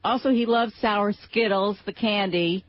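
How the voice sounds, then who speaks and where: A woman's voice: medium pitch, steady tone, measured pace, no echo. Matter-of-fact woman reporting on radio. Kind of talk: reporting on air. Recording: muffled radio broadcast.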